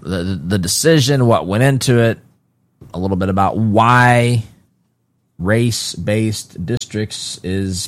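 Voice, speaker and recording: A man's voice: on the low side, no echo. A man hosting a casual solo commentary, reading from an article and breaking off into conversational asides with frequent restarts. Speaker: political commentary host.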